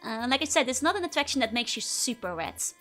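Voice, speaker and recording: A woman's voice: a little high, big pitch swings, quick pace, fairly bright tone, faint music behind. A woman with a Dutch accent, streaming and chatting casually with viewers, giggling often and rambling with lots of fillers. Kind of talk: casual chat to live viewers.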